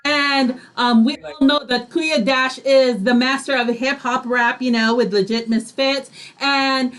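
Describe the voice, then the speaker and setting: A woman's voice: high-pitched, fairly even intonation, measured pace, fairly bright tone. A woman co-hosting a casual radio interview in a friendly, giggly manner. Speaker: radio co-host.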